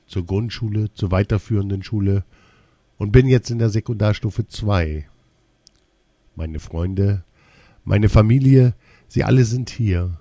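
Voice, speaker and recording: A man reading a first-person personal essay aloud in a slow, measured, deliberate way. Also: deep voice, mellow, no echo.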